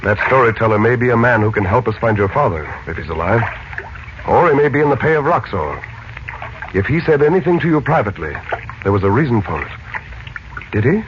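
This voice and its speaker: An actor playing a steady, authoritative uncle who leads an expedition, in 1940s radio serial style. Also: male voice, medium pitch, fairly quick, pauses often, lightly acted. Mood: probing, serious. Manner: authoritative, probing. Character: steady, take-charge American uncle leading an expedition. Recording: muffled, noisy old radio recording.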